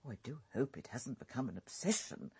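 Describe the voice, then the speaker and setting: A woman's voice: very deep voice, very animated, brisk, dark tone, breathy edge, exaggerated voice. An actress playing a genteel, chatty woman in her eighties, a devoted royalist, in a radio drama. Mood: fond, obliging. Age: 80s. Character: genteel eighty-five-year-old female royalist, devoted scrapbook keeper.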